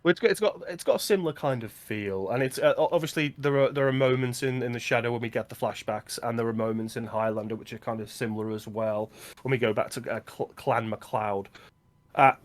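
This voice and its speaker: A man co-hosting a film podcast, chatting casually with quick asides and frequent laughs. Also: medium pitch. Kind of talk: casual chat.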